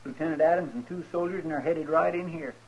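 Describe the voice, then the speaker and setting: An actor playing an earnest, folksy, deferential sidekick to a marshal in a 1950s radio western. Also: male voice, fairly high pitch, quick pace, lightly acted. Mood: anxious. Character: eager, loyal, folksy male marshal's sidekick. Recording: muffled, noisy old radio recording.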